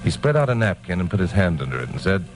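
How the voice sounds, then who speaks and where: A man's voice: low-pitched, very animated, fast, music in the background. A man playing a cocky, wisecracking American newsman sleuth in an old-time radio detective drama, breezy and slangy in a hard-boiled style. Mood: wry narration.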